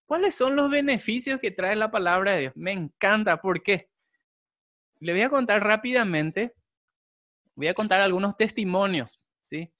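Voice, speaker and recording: A young adult man, a Guarani speaker, preaching a sermon, with slow, emphatic repetition. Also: high-pitched, expressive, a bit bright, dry recording, highs cut off. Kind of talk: sermon with prayer.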